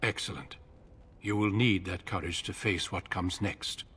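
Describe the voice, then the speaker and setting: An actor voicing a grave older male warden commander in a video game, speaking in a solemn, measured way. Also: sits low, fairly even intonation, a bit bright, breathy edge, exaggerated voice. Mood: stern.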